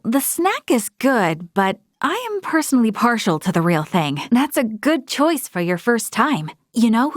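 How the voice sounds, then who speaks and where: A woman's voice: on the high side, no room sound, studio quality. A woman playing an earnest, polite young female space officer in a video game, speaking in a formal, thoughtful way. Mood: friendly, encouraging. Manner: measured, formal, thoughtful delivery. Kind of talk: scripted video game voice acting.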